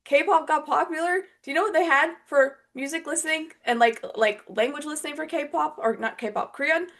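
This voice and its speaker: A woman's voice: sits high, moderate pace, a bit bright. A woman co-hosting a casual podcast, rambling chattily with many fillers. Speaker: podcast co-host.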